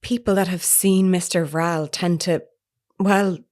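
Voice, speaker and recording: A woman's voice: fairly low pitch, fairly even intonation, a little slow, a little mellow, lightly acted. An actress playing a concerned woman reporter who questions hesitantly and delicately in an audio horror drama. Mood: hesitant, tactful. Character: careful, concerned woman reporter making a call.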